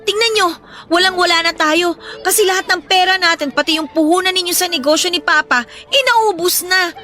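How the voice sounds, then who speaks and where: A woman's voice: high-pitched, sharp tone. An actress playing a frustrated young woman who complains and pleads with her gambling mother in a radio-style drama.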